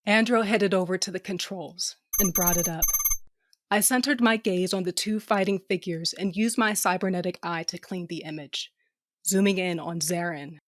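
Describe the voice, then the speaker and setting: A woman's voice: fairly low pitch, steady tone, moderate pace, dry recording, natural voice. A woman playing a blunt, sardonic female starship captain who narrates her own science fiction audio drama in slangy language. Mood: wry narration. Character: tough, sardonic, foul-mouthed female ship captain with a cybernetic eye. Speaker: narrator and lead character. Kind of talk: first-person audio drama narration and dialogue.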